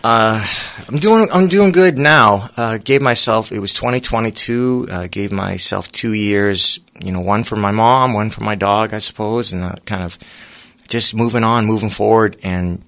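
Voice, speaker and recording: A singer being interviewed, talking in a relaxed, rambling way with lots of pauses and fillers. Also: male voice, on the low side. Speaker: singer guest. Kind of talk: casual interview chat.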